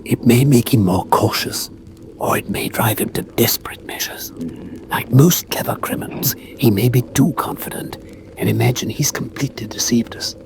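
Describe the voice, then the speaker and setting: An actor playing a calculating, authoritative detective, deliberate and analytical, in a radio drama. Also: male voice, medium pitch, whispered, lightly acted. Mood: hushed, tense. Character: calculating, keen male detective, intent and authoritative. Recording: clearly noisy radio drama track.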